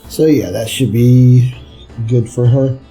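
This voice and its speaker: A man's voice: mid-range voice, fairly even intonation, slow, mellow, husky, rough recording. A man giving casual gameplay commentary, rambling and thinking aloud as he plays. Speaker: gameplay streamer and reviewer. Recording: game music behind the voice. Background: video game music.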